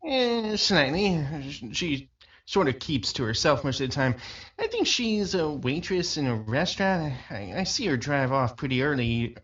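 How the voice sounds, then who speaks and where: A man's voice: on the high side, very animated, moderate pace, a bit bright. An actor playing an amiable neighborhood florist who hems and hesitates as he answers. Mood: hesitant. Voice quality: hesitant, hemming speech with chuckles. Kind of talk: 1940s radio drama acting. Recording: dull lo-fi radio sound.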